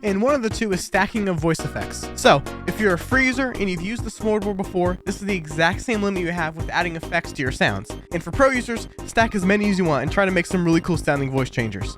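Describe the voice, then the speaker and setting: A young man giving an informal, chatty, matter-of-fact video walkthrough as a software developer. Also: high voice, lively intonation, fast talker, few pauses. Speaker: software developer presenting own app update. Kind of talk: casual scripted video walkthrough. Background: background music.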